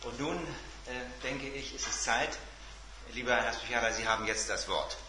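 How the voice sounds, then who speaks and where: A man hosting a seminar, introducing a guest formally in a halting, emphatic way. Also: mid-range voice. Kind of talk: semi-formal spoken introduction. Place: seminar room. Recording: roomy, noisy live seminar recording.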